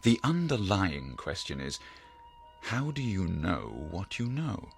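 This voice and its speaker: An actor playing a calm, pedantic psychiatrist in an audio drama, speaking in a measured, slightly pompous, professorial way. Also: male voice, deep voice, gravelly, faint music behind, heavily acted. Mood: lecturing. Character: calm, pedantic male psychiatrist, lecturing and reassuring.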